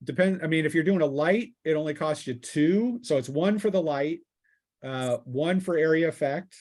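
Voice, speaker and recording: A man's voice: on the high side, normal speed, darkish tone. A man speaking casually as game master, narrating a tabletop role-playing game with vivid sound-effect words.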